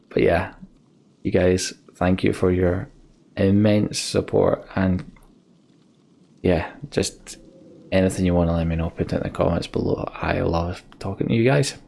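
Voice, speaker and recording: A man with a low voice and a crackly, fry-laden edge talks casually to his gaming audience. He is halting and heartfelt, reflecting as he goes, with faint noise behind him. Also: darkish tone. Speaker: gaming let's play host. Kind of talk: casual sign-off chat to viewers.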